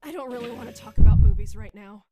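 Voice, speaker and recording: A woman's voice: a little high, quick pace, narrow, tinny audio, over loud music, natural voice. A woman playing a tough, determined, wry young soldier in a radio drama.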